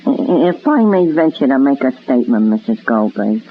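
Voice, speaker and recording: A man's voice: medium pitch, very animated, moderate pace, music in the background. An actor playing an awkward, anxious, overly polite young man in an old-time radio soap, hesitant and formal. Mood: anxious, earnest. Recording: lo-fi old radio recording with hiss.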